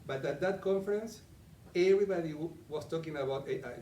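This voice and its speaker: A middle-aged Argentinian man leads a live talk, chatting with the room and putting questions to his listeners. His voice sits a little high and is picked up from some distance in an echoey meeting room, with faint ambience behind. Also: a little fast, dark tone, a little hiss or hum. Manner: conversational, asks the audience questions. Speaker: coaching presenter. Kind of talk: live interactive presentation.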